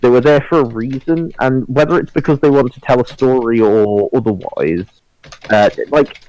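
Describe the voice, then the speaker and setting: A man's voice: medium pitch, normal speed, a little mellow, muffled recording, soft background music. A man talking casually and informally as a panellist in a podcast discussion, given to banter.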